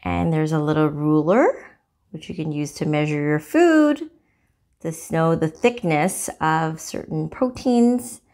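A woman with a fairly low voice talks through a cooking gadget at her kitchen counter. She is relaxed and chatty, with little fillers, and shows each part as she goes. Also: slow pace. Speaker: cooking channel host. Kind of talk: casual product demo.

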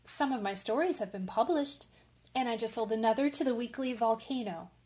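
Casting: actress as earnest, warm-hearted young female writer, slightly high voice, period radio drama. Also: animated, a little fast, natural voice. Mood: enthusiastic. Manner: eager, earnest. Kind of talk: old-fashioned radio drama acting.

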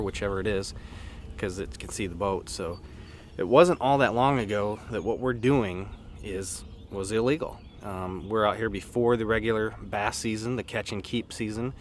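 A man's voice: on the low side, slight background noise. A man in his thirties hosting an outdoor show, casually explaining things while fishing from a boat on a lake. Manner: easygoing, explanatory, with fillers.

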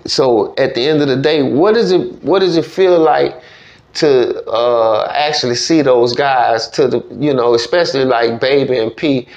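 Mid-pitched man hosting, slightly muffled. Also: measured pace, darkish tone. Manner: colloquial, frequent affirming interjections. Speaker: podcast host. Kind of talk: casual interview chat. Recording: slightly dull studio sound.

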